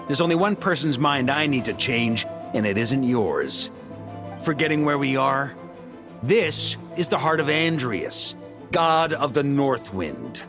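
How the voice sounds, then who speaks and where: Animated, bold knight hero. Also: male voice, medium pitch, unhurried. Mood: confident. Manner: theatrical, assured. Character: bold, defiant, seasoned male knight, confident hero. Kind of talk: scripted video game acting. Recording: voice over game music.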